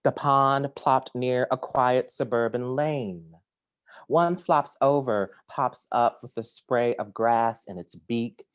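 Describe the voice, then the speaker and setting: Slow, measured man reading poems. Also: medium pitch, smooth, no room sound. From Detroit, United States. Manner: measured, deliberate reading that drops to a hush. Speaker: poet. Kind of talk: poetry reading. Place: home, at a kitchen table. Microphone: video call.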